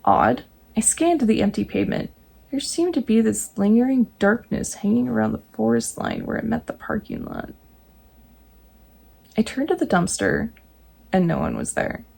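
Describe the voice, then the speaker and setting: A woman reading true ghost stories aloud, breaking in now and then with jokey, animated asides. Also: fairly high pitch, normal speed, creaky, husky. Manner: animated, jokey asides between read passages.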